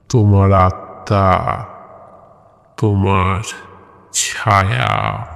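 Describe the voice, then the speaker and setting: A man voicing a masked shadow being in a horror audio story, commanding and menacing, demanding a soul with drawn-out words. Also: on the low side. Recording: long cavernous reverb on the voice.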